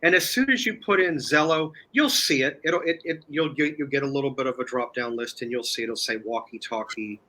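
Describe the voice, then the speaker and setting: A man speaking as a guest in a casual chat, explaining step by step in a halting way. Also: medium pitch, bright, forceful tone. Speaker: guest, online video creator.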